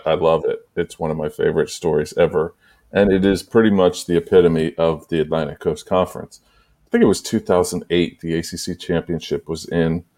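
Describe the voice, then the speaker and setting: Male sports podcast host, deep register, conversational storyteller who meanders through his anecdotes. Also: darkish tone. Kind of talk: casual sports banter and storytelling.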